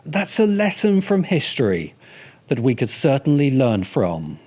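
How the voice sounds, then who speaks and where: A man's voice: medium pitch, huge pitch swings, measured pace, darkish tone. A man narrating a scripted video essay as its host, with dry, sardonic asides.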